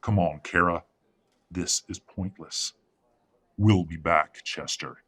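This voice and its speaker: An actor playing an older, domineering werewolf alpha father in an audio drama, with a gruff, curt delivery. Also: low voice, fairly even intonation, takes it slowly, fairly bright tone, exaggerated voice. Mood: cold, dismissive.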